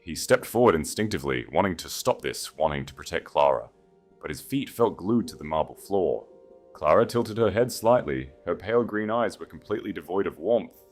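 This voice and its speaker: A man with a low voice narrates a dramatic story in a scripted audiobook style. Faint music plays behind his reading. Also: lively intonation, brisk.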